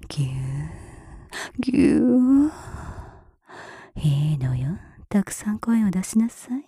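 A woman playing a breathy, whispering, mocking mistress. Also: on the low side, no room sound, exaggerated voice. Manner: soft close whisper with taunting laughs. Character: mocking dominant woman, cool and teasing. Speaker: voice actress. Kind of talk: whispered ASMR roleplay.

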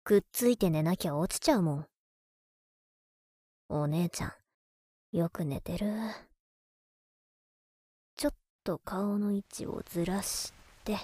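A woman voicing a clingy, teasing younger brother who dotes on an older sister, speaking softly and intimately in an audio drama. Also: fairly low pitch, no echo, studio quality. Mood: tender, playful. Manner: soft, intimate, coaxing, sometimes dropping to whispers. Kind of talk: intimate Japanese audio drama, murmured monologue to a sleeping listener.

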